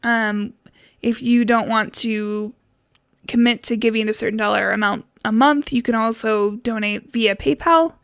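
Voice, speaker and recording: A woman's voice: a little high, steady tone, measured pace, gravelly, a bit bright, smooth, dry recording, clean studio sound. A woman co-hosting a podcast in casual, informal conversation with a giggly manner. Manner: informal, giggly, mildly sweary. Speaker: podcast co-host.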